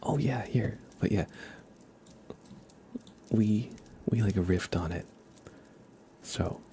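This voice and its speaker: A man hosting an ASMR livestream, chatting casually and haltingly in a soft, hushed voice that drifts into whispering. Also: lowish voice. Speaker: livestream host. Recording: steady background noise with keyboard typing.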